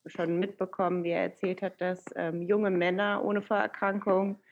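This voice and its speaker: Guest: woman in her thirties, low gravelly voice, hesitant and thoughtful, speaking over a video call. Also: level tone, moderate pace, lo-fi sound. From Iran. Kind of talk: casual interview conversation.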